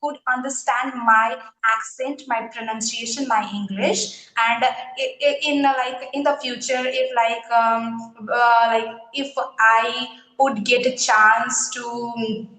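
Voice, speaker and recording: A woman's voice: on the high side, fairly even intonation, slow pace, bright, forceful tone, reverberant, low-quality recording. A woman with an Indian accent, speaking hesitantly as an English learner in a casual conversation, with many fillers and restarts. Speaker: English learner being interviewed.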